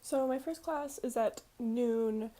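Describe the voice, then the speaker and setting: A woman's voice: a little high, slow, loud music behind the voice. A woman in her early twenties vlogging in a casual, chatty way, rambling informally with conversational asides. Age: early 20s.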